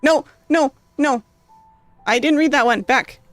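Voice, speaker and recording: Girl streamer reading a game out loud, kinda high voice, getting hoarse, lots of "like" and "um", quiet music behind. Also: unhurried, no room sound. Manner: casual, rambling, heavy on fillers. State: losing her voice, coughing. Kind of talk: reading a visual novel aloud and chatting with viewers.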